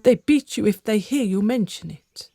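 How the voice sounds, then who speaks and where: Husky, creaky old gossiping servant woman, acted. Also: medium pitch, lively intonation, normal speed, mellow, dry recording, studio quality. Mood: conspiratorial. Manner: conspiratorial, theatrical. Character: gossiping older servant woman, secretive and dramatic. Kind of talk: radio drama acting.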